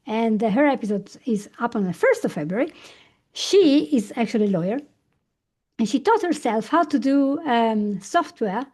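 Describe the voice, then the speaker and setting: A woman from Italy, hosting a podcast interview and asking curious, lively follow-up questions. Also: on the high side. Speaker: podcast host. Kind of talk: conversational interview.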